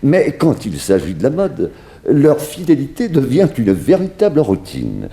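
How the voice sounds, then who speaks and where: An actor playing a flamboyant, self-important Parisian couturier, courteous and theatrical, in a 1960s French radio drama. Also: male voice, medium pitch, wildly swooping pitch, unhurried, vocal fry, warm tone, exaggerated voice. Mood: grandiose, amused. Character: flamboyant celebrated Parisian couturier, grandiose and eccentric man.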